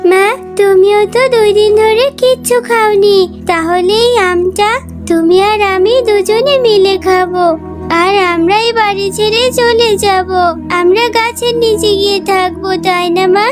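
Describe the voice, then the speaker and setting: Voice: extremely high pitch, fairly even intonation, a little slow. An actor voicing a pleading little jackal girl in a children's cartoon, with a thin, childlike voice. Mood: caring. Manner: plaintive, pleading. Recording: music under the voice.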